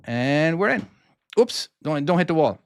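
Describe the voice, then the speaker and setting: A man's voice: on the high side, big pitch swings, unhurried, clean tone. A man from Cork with an Irish accent, casually talking through his own trucking game on a live stream and answering viewers' questions. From Ireland (Cork). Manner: casual, talks himself through each maneuver and answers chat questions. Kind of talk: live gameplay commentary.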